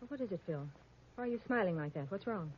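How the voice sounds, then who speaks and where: An actress playing an anxious, urgent heiress in 1940s radio crime drama style. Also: female voice, lowish voice, expressive, fast, lightly acted. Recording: dull, faintly noisy old radio recording.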